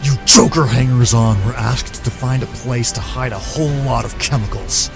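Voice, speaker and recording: A man's voice: medium pitch, normal speed, vocal fry, loud music behind the voice, close to an everyday voice. A man playing a cocky, threatening masked gunman vigilante in a comic dub. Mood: cold menace. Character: menacing hard-edged male masked gunman vigilante, coldly taunting.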